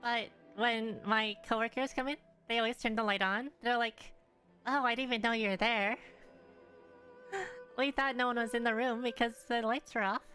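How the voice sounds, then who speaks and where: A woman's voice: a little high, steady tone, moderate pace, sharp tone, faint music behind. A young woman live streaming a game from her room, chatting offhandedly and rambling as she answers viewers. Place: room at home.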